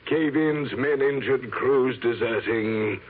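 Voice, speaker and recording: An actor playing an obsessed, grandiose archaeologist in a 1970s radio drama, with florid, declamatory delivery. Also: male voice, medium pitch, slow pace, husky. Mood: determined. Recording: muffled, phone-like old radio recording.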